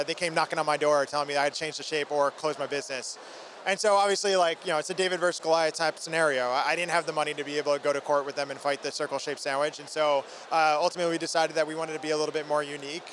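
A man's voice: on the high side, steady tone, rapid-fire, a bit bright. A man in his thirties giving an interview as a company founder, talking informally and energetically into a handheld microphone. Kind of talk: trade show interview. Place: busy trade show booth indoors. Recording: faint convention hall background noise.

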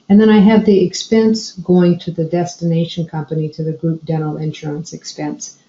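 A woman explaining software step by step as a trainer narrating a screencast tutorial. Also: sits low. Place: indoor room, screen recording voice-over. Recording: dull, roomy sound.

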